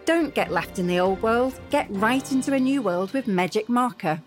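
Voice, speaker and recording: A woman's voice: a little high, lively intonation, normal speed, soft background music, close to an everyday voice. A woman voicing a slick, grandiose commercial announcer in a parody radio advert. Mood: salesy. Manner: salesy, grandiose. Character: slick female commercial announcer selling a miracle product.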